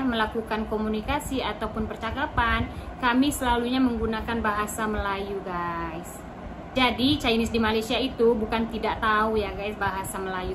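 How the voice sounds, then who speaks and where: A woman from Indonesia chatting to her viewers in a casual explainer vlog. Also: on the high side. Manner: chatty, addresses viewers as guys. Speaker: vlogger. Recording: noisy recording.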